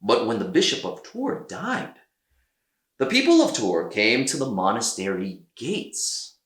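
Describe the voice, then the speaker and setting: A man narrates a children's read-aloud with expressive, dramatic pauses. His delivery is unhurried and very animated, with a bright tone in an echoey room. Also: lowish voice. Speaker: storyteller.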